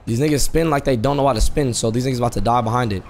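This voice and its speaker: Gravelly, brash American man streaming. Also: medium pitch, rapid-fire, a little mellow, slight background noise. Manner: brash, profane, repetitive street slang. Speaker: live streamer playing a GTA roleplay game. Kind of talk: casual slangy gameplay stream chat.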